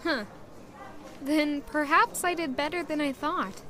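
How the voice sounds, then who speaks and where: A woman playing a shy, self-doubting young woman who was once an orphan, in an audio drama. She also narrates in the first person and talks in a soft, modest, hesitant way. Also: sits high, a little slow, slight background noise. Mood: unsure. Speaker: narrator and lead character.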